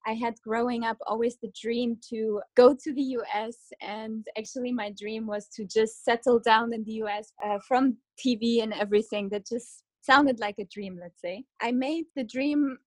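A young woman from Kazakhstan raised in Germany, speaking as an interview guest in a relaxed, chatty way. Her voice sits a little high, and she laughs easily between thoughts. The sound is dry and close. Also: normal speed, hardly any pauses, no room sound. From Kazakhstan, grew up in Germany. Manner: laughs often, hedges with "let's say". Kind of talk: casual conversational interview.

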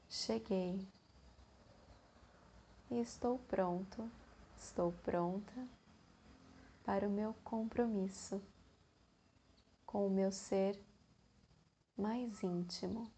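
A woman with a Brazilian accent guides a meditation extremely slowly. Her voice is mellow and slightly husky, and she leaves long, soothing pauses between short phrases. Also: medium pitch. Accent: Brazilian Portuguese.

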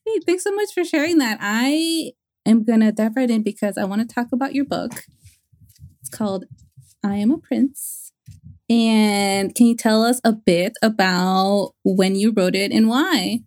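Friendly woman podcast host, slightly high. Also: measured pace, darkish tone. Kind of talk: interviewing.

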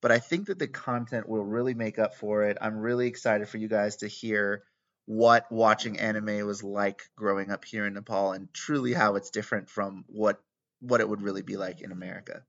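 A man hosting a podcast interview in a conference room, chatty with many ums and pauses. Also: fairly low pitch, steady tone. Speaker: podcast host. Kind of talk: casual interview. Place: conference room with poor acoustics. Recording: lo-fi, dull sound.

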